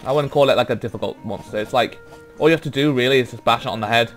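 A man's voice: mid-range voice, rapid-fire, faint music behind. A young Welsh man giving rambling, laid-back let's play commentary, trailing off with ums as he goes through menus. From Wales. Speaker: gaming YouTuber.

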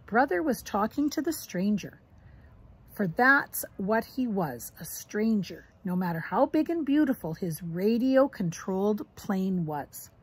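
A middle-aged woman reading a children's storybook aloud in an expressive, instructive way, as if to young grandchildren. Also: mid-range voice, slightly rough, low-quality recording. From Canada. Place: outdoors on a wooden deck among trees.

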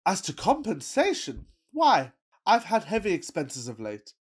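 An actor playing a pompous, lovestruck rich young gentleman, melodramatic and self-regarding, in a farcical radio play. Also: high voice, wildly swooping pitch, a little slow, husky, full character voice. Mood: pompous, persuasive.

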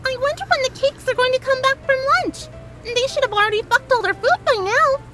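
A woman voicing a bubbly, oblivious young female cartoon pony, excitable and chirpy. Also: very high voice, brisk, loud music behind the voice, pitched above the performer's own voice. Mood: cheerful, oblivious.

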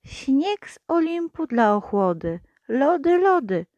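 A woman voicing a market vendor who calls out loudly to sell ice cream to passers-by. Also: high voice, sing-song, slow, dry recording, pitched above the performer's own voice, heavily acted. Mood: hawking. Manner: loud hawker's calls.

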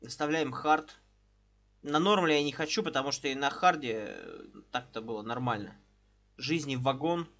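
A man's voice: mid-range voice, sing-song, moderate pace, fairly bright tone. A man in his thirties on a live stream, chatting casually from a small room into a headset and greeting viewers one by one. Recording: game music under the voice.

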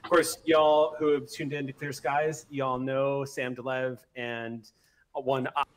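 A man's voice: medium pitch, level tone, unhurried. A man in his thirties casually hosting a tabletop roleplay livestream as game master. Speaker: game master and stream host. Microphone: desk mic on video call.

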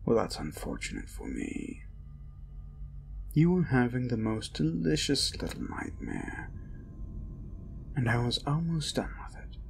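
Creaky, menacing male incubus demon. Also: medium pitch, wildly swooping pitch, slight background noise, heavily acted. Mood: mocking disappointment. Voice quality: creaky, breaking into breathy whispers. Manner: slow, menacing, taunting, drawn-out. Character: ancient cruel male incubus demon, mocking, gloating, predatory. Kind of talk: dark roleplay audio monologue. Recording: ominous music behind the voice.

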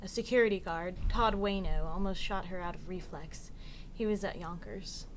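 An actress playing a protective, careful caregiver at a psychiatric ward in a radio drama. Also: female voice, lowish voice, moderate pace, lightly acted. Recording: audible background noise.

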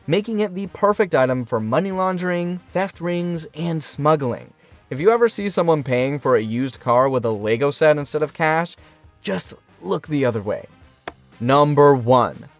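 Wry man narrating a countdown. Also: on the high side. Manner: wry, punchy, sarcastic asides. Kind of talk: scripted countdown narration, jokey. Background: faint background music.

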